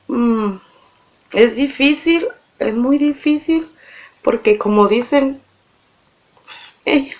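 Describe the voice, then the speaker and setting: A woman giving halting spoken testimony, hesitant and plain-spoken as she appeals for justice. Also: high-pitched, gravelly. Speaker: victim's relative appealing for justice.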